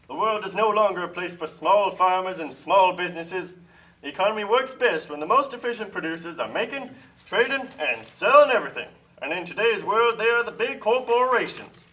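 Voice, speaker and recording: A man acts out a confident free-trade adviser for a puppet play. The voice is high for a man, bright and forceful, heard at some distance from the mic. He declaims like a pushy salesman. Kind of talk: amateur political puppet show.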